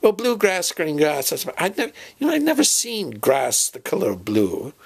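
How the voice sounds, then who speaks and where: Comedy sketch professor, performed by a man: doddering and forgetful, gravelly, with pitch that swings about as he fumbles and repeats himself. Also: huge pitch swings, exaggerated voice. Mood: fussy, exasperated. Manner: halting, muddled, fussy, repeats himself. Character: doddering, fussy, forgetful male professor planning an expedition. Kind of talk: improvised comic dialogue sketch.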